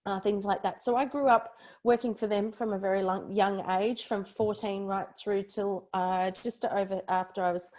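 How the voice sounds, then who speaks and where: A woman's voice: mid-range voice, fairly even intonation, moderate pace, few pauses, a little mellow. A woman in her forties with an Australian accent, talking in a chatty, loosely structured way as an interview guest. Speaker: podcast guest, personal branding expert. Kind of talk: conversational interview, recounting own career.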